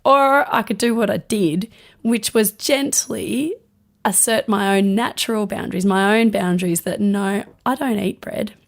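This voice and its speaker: A woman doctor co-hosting a health podcast, hoarse from laryngitis, telling stories in a chatty, earnest way. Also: mid-range voice, unhurried. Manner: chatty storytelling, gentle and earnest. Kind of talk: casual podcast chat.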